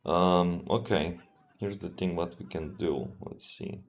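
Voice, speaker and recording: A man with a Serbian accent, a streamer thinking aloud as he plays a strategy game in a relaxed, halting way and answering chat. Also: deep voice. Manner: relaxed, thinking aloud, often trails off and restarts. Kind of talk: live gameplay commentary.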